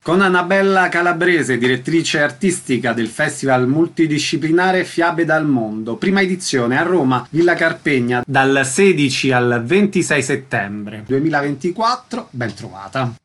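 Radio interviewer guy, voice a bit on the high side, doing intros and questions. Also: lively intonation, normal speed, few pauses, a bit bright, soft background music. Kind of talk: radio presenting, announcements and questions.

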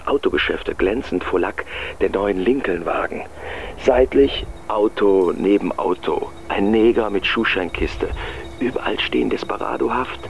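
A creaky-voiced, clipped city observer. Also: male voice, fairly low pitch, a little hiss or hum. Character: male German artist recording sharp impressions of bustling New York. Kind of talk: literary radio play monologue in clipped, telegram-like fragments.

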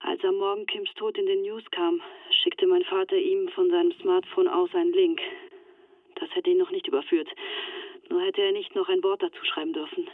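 A woman's voice: on the low side, steady tone, normal speed, dry recording, narrow, tinny audio. A young woman in hiding in a radio drama. She sounds distressed and confiding as she recounts events, through a thin, dry telephone filter. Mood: grim, explanatory. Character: shaken Turkish-Swiss woman in hiding, recounting betrayal. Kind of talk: radio play acting.

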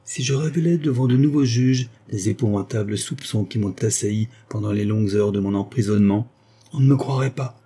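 A man's voice: on the low side, brisk, dark tone, slightly rough. A man reading aloud, voicing a condemned young woman who is proud, resolute and set on revenge, with an intense, defiant delivery.

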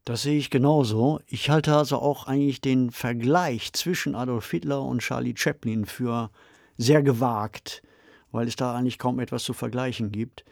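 Man, podcast co-host, measured analytical talk, formal wording, middle pitch, dry sound. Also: fairly even intonation, creaky, darkish tone, no room sound. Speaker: podcast co-host discussing radio plays. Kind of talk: analytical conversational discussion.

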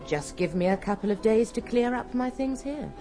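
No-nonsense english nurse in a radio play, highish voice, up and down a lot, asking direct questions. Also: female voice, animated, normal speed, warm tone, soft background music. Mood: cautious, practical. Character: forthright, practical English nurse, cautious and questioning. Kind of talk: radio drama acting.

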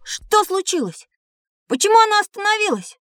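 A woman's voice: extremely high pitch, very animated, normal speed, fairly bright tone, no echo, clean studio sound, soft background music, exaggerated voice. A woman voicing an eager, curious and polite young boy in a children's audio play.

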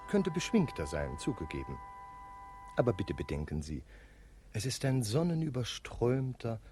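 A man's voice: mid-range voice, dark tone. A male narrator of a 1950s radio play, talking to the listeners in a wry, conversational way.

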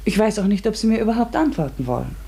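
An inquisitive, ambitious woman in a literary radio drama. Her voice is breathy and husky, and she speaks earnestly, reflectively and with polite hesitancy. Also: mid-range voice, very animated, quick pace, warm tone, heavily acted. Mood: curious, polite. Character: eager, curious, ambitious woman narrating her strange discovery. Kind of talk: literary radio play narration and dialogue.

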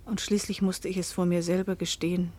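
Breathy, husky, unhappy wife played by a woman. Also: fairly low pitch, level tone, brisk, mellow, close to an everyday voice. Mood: melancholy, reflective. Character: lonely, unhappy, fearful wife and mother, quietly resigned. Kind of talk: German radio play acting with first-person narration.

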